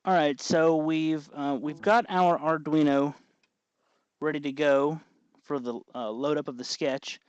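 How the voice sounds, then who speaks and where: A man hosting a hobby tutorial, explaining each step in an informal, folksy, unhurried way. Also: on the high side, fairly even intonation, clear, resonant tone, dry recording, muffled recording. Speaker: host of a hobby electronics tutorial. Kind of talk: informal hands-on tutorial narration.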